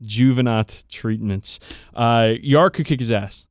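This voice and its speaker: A man's voice: medium pitch, unhurried, no echo, studio quality. A man co-hosting a podcast in casual chat, emphatic, irreverent and full of swearing. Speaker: podcast co-host. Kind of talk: casual banter about tabletop wargaming.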